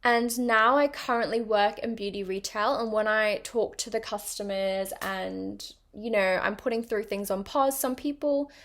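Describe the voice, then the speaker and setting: A woman's voice: medium pitch, fairly even intonation, measured pace, few pauses, fairly bright tone. Chatty young woman with a British-tinged accent. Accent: mixed British and international. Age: young adult. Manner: chatty, many ums and likes, rambling. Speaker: podcast host. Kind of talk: casual personal monologue.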